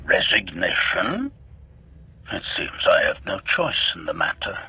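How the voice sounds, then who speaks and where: An actor playing an arrogant, sardonic assassin droid in a video game, with formal, clipped, condescending phrasing and a robotic filter. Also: male voice, sits low, huge pitch swings, a little slow, pushed and bright, soft background music, heavily acted. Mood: resigned. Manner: announces each sentence type, formal and clipped, condescending. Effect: robotic metallic voice filter.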